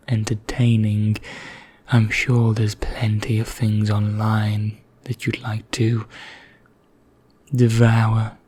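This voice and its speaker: A man performs an ancient male vampire knight, languid and darkly courteous, in an ASMR roleplay. The voice is dark, mellow and husky, often sinking toward a whisper. He speaks formally and condescendingly, in an even, barely varying tone with deliberate pauses. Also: lowish voice, exaggerated voice. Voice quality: soft, breathy, half-whispered, husky.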